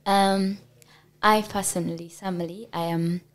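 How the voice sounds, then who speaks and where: Slow, flat-voiced Ugandan woman. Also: mid-range voice. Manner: hesitant, pauses with many fillers. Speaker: podcast guest. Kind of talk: personal storytelling in an interview.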